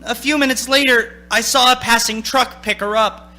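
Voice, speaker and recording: A man's voice: extremely high pitch, steady tone, normal speed, pushed and bright, full character voice. An actor playing a haunted, anxious young male driver in a radio play, speaking in a stammering, urgent, theatrical way. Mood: haunted, brooding. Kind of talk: theatrical radio play acting with first-person narration. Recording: stage radio play with music.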